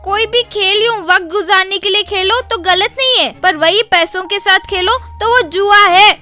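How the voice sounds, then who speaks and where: A woman plays an outspoken young schoolchild in a cartoon story. The voice is extremely high and bright, earnest in manner, over faint music. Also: normal speed. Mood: reproachful. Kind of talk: cartoon children's story character.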